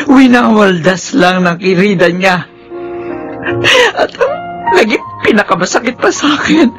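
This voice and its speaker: A woman's voice: a little high, lightly acted. Actress as a plaintive, resentful Filipino grandmother. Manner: plaintive, emotional. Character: resentful, anxious grandmother mourning her grandchild. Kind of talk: Filipino radio drama acting. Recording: music bed under the voice.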